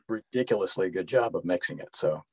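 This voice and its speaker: A man's voice: low-pitched, animated, normal speed, breathy edge, dry recording. A man, chatting casually as a podcast guest. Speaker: podcast guest, actor-director. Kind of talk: casual interview banter.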